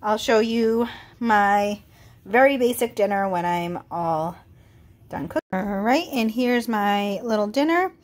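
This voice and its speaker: A woman vlogging casually as she cooks at home, chatty and relaxed with frequent ums, her voice a little congested. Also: mid-range voice, unhurried. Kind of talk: casual kitchen vlog narration. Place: home kitchen.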